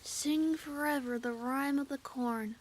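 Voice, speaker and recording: A woman's voice: sits high, steady tone. A woman voicing a young cartoon filly, a plaintive little pony girl in a fan fiction audio drama. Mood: quiet reciting. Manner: plaintive, drawn-out cries.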